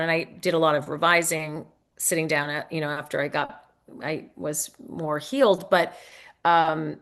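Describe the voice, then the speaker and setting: Low voiced woman author just chatting in an interview, kinda hesitant, thinks out loud as she goes. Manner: conversational, hesitant, thinking aloud. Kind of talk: casual interview chat.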